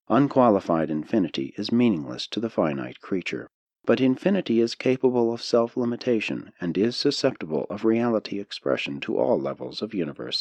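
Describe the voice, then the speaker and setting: Deep-voiced man reading with expressive range. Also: no room sound. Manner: solemn, measured reading. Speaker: audiobook narrator. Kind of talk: scripted narration of a spiritual text.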